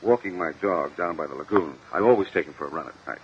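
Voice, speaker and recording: An actor playing an uneasy, evasive businessman, halting and defensive, in a 1950 radio courtroom drama. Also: low-pitched, close to an everyday voice. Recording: muffled, hissy old radio recording.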